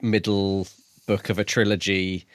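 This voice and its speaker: A man's voice: deep voice, level tone, a little slow, no echo. A man co-hosting a podcast, discussing a book casually and thoughtfully, feeling out his ideas as he goes. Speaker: podcast co-host.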